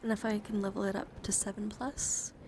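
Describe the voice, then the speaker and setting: A woman streams ASMR in a gentle mix of whispers and soft talk. She is chatty and encouraging, with an ordinary mid-range pitch. There is noticeable background noise in the recording. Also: level tone, fairly quick. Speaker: livestream host. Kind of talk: whispered ASMR, showing and reading out trading cards. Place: indoor livestream, hands-on camera.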